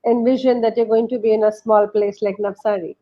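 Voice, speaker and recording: A woman's voice: on the high side, steady tone, brisk, lo-fi sound. A woman interviewing guests, prompting them with questions and offering frequent short affirmations. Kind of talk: interview hosting.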